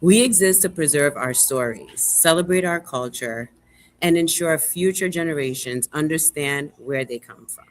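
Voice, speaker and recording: A woman hosting a relaxed live interview, speaking emphatically and repeating words for stress. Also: sits low, normal speed, low-quality recording. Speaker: live show host and museum board president. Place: remote video call livestream.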